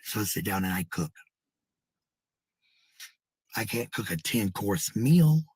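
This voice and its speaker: A woman with a husky, raspy voice, ranting casually to her followers in a defensive, blunt, sweary manner. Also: rumbling low, animated, slow pace, darkish tone, no room sound. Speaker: livestreamer.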